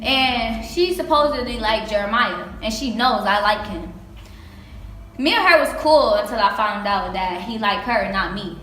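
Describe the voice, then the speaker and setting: A woman's voice: mid-range voice, moderate pace, pushed and bright, lightly acted. A woman acting a bossy, jealous teenage mean girl in a stage play, slangy and confrontational. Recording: echoey stage, clear background noise.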